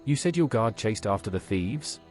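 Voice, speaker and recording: A man's voice: on the low side, lively intonation, normal speed, a little mellow, faint music behind, natural voice. A man's synthetic voice playing an inquisitive adventurer in a fantasy video game, asking things plainly. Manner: plain, questioning. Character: inquisitive male adventurer questioning people.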